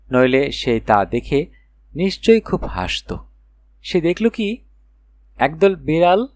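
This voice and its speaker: A man narrating a children's fairy tale in an expressive storytelling manner with dramatic phrasing. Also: mid-range voice, dry recording. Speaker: audiobook narrator.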